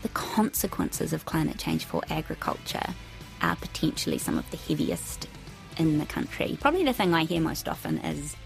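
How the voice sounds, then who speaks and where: A New woman gives conversational interview commentary. Her voice is low and creaky, with music playing faintly behind her. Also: huge pitch swings, moderate pace, poor audio.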